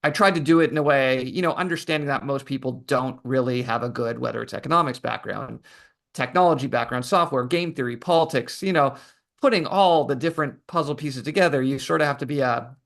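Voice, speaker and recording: Relaxed man giving interview answers. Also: mid-range voice, fairly quick, creaky. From Canada. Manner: relaxed, thoughtful, many fillers. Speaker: podcast guest, author.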